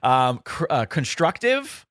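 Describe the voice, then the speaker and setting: A man's voice: a little high, sing-song, a bit bright, no room sound. Easygoing male co-host who stumbles through his thoughts, recorded dry and close. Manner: halting, many fillers and restarts, easygoing. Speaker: producer and guest co-host. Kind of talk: casual podcast chat, reading listener emails aloud.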